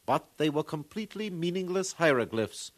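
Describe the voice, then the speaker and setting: Actor as courtly, scholarly museum curator. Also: male voice, a little high, lively intonation, normal speed, close to an everyday voice. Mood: scholarly, pleased. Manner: formal, professorial. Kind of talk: 1950s radio acting.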